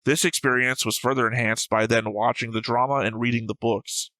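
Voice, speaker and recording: A man's voice: mid-range voice, steady tone, brisk, sharp tone, no room sound, low-quality recording. A man co-hosting a reaction show, discussing and reading comments in a casual, analytical way with some argument and casual swearing. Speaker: co-host of anime reaction show.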